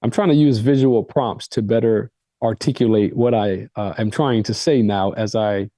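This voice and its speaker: A man whose first language is Arabic coaches listeners on a call. He stresses his points by repeating them. His voice is dark and mellow, recorded dry and close. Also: on the low side, moderate pace, no room sound. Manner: emphatic, repeats phrases for stress. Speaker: coach and host.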